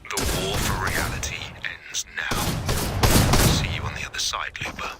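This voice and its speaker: An actor playing a grave, terse resistance leader giving mission orders in a video game, with a breathy undertone. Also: male voice, sits low, fairly even intonation, normal speed, heavy background noise. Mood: grim resolve.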